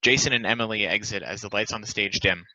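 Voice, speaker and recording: A man's voice: lowish voice, quick pace, bright, forceful tone, no room sound, poor audio. A man reading stage directions as scripted narration for a play's table read.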